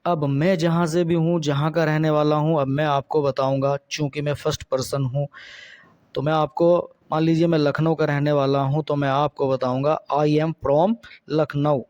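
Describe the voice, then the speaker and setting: A man's voice: a little high, level tone, moderate pace, darkish tone, dry recording. A man teaching spoken English in a lesson, explaining in Hindi and carefully repeating each English sentence with its translation.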